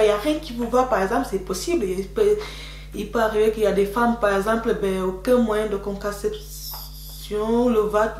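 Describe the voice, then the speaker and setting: A woman's voice: mid-range voice, darkish tone. A young woman vlogging casual advice, a bit congested from a cold, talking in a chatty, repetitive way with many fillers. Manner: chatty, repetitive, frequent "donc voilà" fillers. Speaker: beauty vlogger. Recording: echoey room with faint hum.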